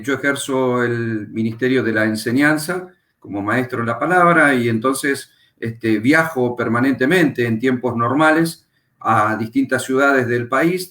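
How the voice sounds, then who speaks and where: A middle-aged Argentine man, a pastor and Bible teacher, calmly telling his life story as a guest in an interview. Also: mid-range voice. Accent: Argentine Spanish. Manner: unhurried, reflective, pauses with fillers. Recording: echoey room.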